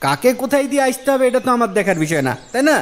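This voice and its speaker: Male actor as obstinate village landowner, very high pitch, rapid speech, dismissive, cartoon dialogue. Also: sing-song, a little hiss or hum. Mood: stubborn, dismissive. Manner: argumentative, dismissive. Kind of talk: Islamic moral cartoon dialogue.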